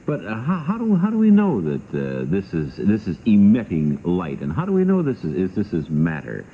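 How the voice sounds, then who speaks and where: A man co-hosting a 1960s radio interview, talking in a halting way on an old recording. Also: on the low side, wildly swooping pitch. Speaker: radio talk show host. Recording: phone-like, faintly noisy 1960s radio recording.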